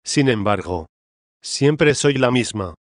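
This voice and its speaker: Un hombre que pone voz al espíritu de una reina difunta, orgullosa y desdeñosa, que responde con altivez. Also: male voice, mid-range voice, animated, takes it slowly, no room sound, studio quality. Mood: haughty. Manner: disdainful, imperious. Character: haughty dead queen's spirit, woman, proud, scornful.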